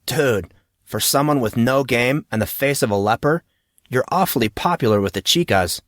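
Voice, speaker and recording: A man's voice: mid-range voice, expressive, moderate pace, no echo, clean studio sound, close to an everyday voice. Man doing a cheeky, wisecracking teenage boy. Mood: teasing. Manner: slangy, cheeky.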